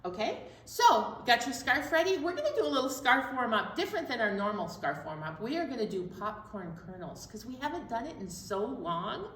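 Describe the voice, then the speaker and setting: A woman's voice: fairly high pitch, big pitch swings, brisk, hardly any pauses, fairly bright tone. Echoey room, far from mic, lady chatting playfully to little kids at storytime. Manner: playful, addresses young children directly. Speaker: children's library storytime host. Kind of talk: chatty preschool storytime talk. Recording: echoey room, distant mic, faint noise.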